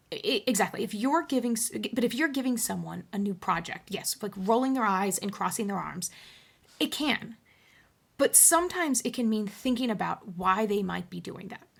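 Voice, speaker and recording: A woman's voice: mid-range voice, vocal fry, a bit bright, breathy edge. A woman speaking as an HR coach on one side of a roleplayed call, conversational and reassuring, with emphatic asides. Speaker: HR coach, show host.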